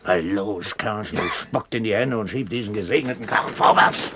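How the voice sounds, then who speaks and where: An actor playing a sly, boisterous one-legged pirate quartermaster who curses and wheedles, in a radio play. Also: male voice, lowish voice, normal speed, noisy background, lightly acted. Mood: mock scolding. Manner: cursing, wheedling sailor talk. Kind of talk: 1970s German radio play acting.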